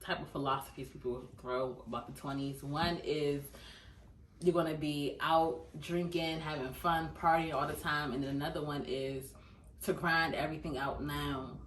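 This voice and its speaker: A woman's voice: low-pitched, fairly even intonation, moderate pace. A woman in her twenties talking casually and thoughtfully about life in her twenties. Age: 20s. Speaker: interviewee. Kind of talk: casual reflective talk. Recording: roomy, distant mic, faint hiss.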